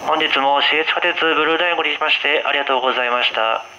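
Guy train driver on the pa, slightly high voice, clipped routine announcement, noisy rumbling subway. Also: racing, muffled recording. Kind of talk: live routine transit announcement. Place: over the PA inside a subway car. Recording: train PA amid loud rumble.

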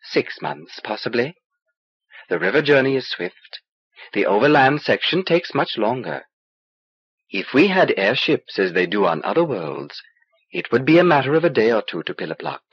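A man reading an audiobook as a small, knowing alien wizard, dry, measured and cryptic. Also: mid-range voice, very animated, husky, dry recording. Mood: matter-of-fact. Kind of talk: audiobook character dialogue. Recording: dull old cassette transfer.

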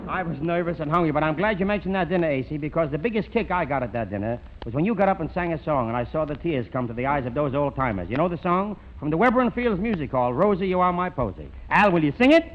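A man's voice: on the high side, animated, quick pace, hardly any pauses. A man hosting a 1930s radio variety show, making florid, theatrical introductions. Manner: florid, theatrical showman's patter. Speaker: radio host. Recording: noisy, dull 1930s radio broadcast.

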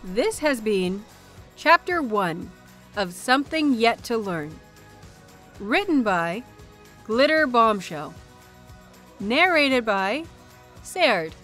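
A woman reading a scripted story aloud as an expressive audiobook narrator. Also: a little high, slow, long pauses, soft background music.

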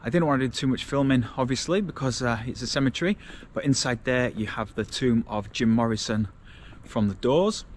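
A man's voice: medium pitch, fairly quick, slight background noise. A man in his forties, chatting casually as he walks and points out city sights, filmed outdoors on a camera held at arm's length. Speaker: travel vlogger. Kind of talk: casual travel vlog commentary. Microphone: action camera held at arm's length.

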